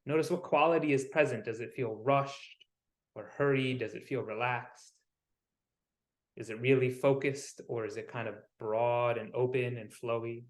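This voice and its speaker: A man with a mid-pitched voice leads a guided meditation in a roomy, echoing space. He speaks soothingly and without hurry, in short phrases with pauses between them, as a wellness coach would. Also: fairly even intonation.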